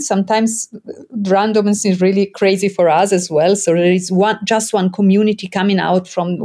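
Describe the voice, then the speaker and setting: A woman's voice: medium pitch, warm tone. A woman explaining her theater work in an interview, in an earnest, explanatory way. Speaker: theater director and game co-creator. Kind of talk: conversational interview answer.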